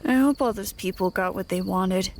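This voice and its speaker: A woman plays an earnest young traveler, the little one of a band of survivors in an audio drama. Her voice is mid-pitched, hesitant and soft-hearted. Faint insects and night air sit behind her. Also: moderate pace, a little hiss or hum, close to an everyday voice. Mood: earnest, hopeful.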